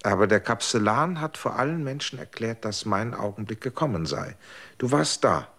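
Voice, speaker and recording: A man's voice: medium pitch, lively intonation, normal speed. An actor in a radio drama playing an anxious, probing man who cannot explain why he is still alive, speaking in a searching, insistent way. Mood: questioning. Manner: searching and insistent, swings between hushed and urgent. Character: anxious, probing man who survived his fated hour. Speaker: lead character.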